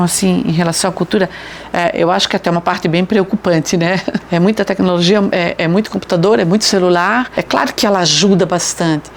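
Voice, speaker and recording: Woman speaking over faint ambient noise. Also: on the low side. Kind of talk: documentary interview.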